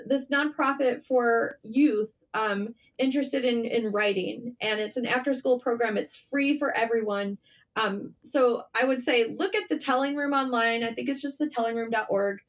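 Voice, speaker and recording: Female podcast guest, higher-pitched voice, informal interview storytelling with an animated conversational delivery. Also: moderate pace. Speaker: podcast guest, writer and journalist. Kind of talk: casual interview chat.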